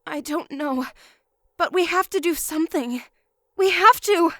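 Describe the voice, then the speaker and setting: A woman's voice: very high-pitched, unhurried, fairly bright tone, no room sound, studio quality. A woman voicing an earnest, emotional teenage schoolgirl in an anime-style game dub. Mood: distressed.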